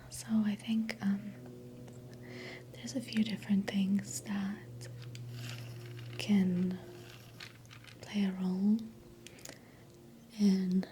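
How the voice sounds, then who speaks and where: Slow-whispering South African woman. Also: medium pitch, a little hiss or hum. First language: Afrikaans. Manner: soft unvoiced whisper, gentle and unhurried. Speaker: ASMR creator. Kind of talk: whispered ASMR voiceover. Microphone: close sensitive mic, sounds moving ear to ear.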